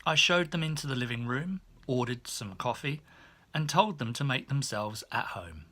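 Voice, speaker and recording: A man reading a humorous first-person memoir as an audiobook, in an expressive storytelling manner. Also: medium pitch, moderate pace. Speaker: audiobook narrator.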